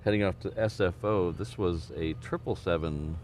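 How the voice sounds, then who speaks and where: A man hosting a live plane spotting stream outdoors, talking casually with a slightly hoarse voice and frequent restarts. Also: low-pitched, moderate pace. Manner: relaxed, rambling with restarts. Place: outdoors near an airport. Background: aircraft and vehicle noise.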